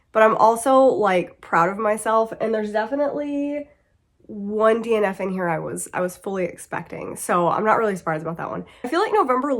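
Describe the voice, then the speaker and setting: Stuffy-nosed sick woman rambling about her books, laughs a lot, keeps losing her thread. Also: medium pitch. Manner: chatty, laughing, self-interrupting. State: congested and sick. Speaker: book vlogger. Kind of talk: casual reading wrap-up chat.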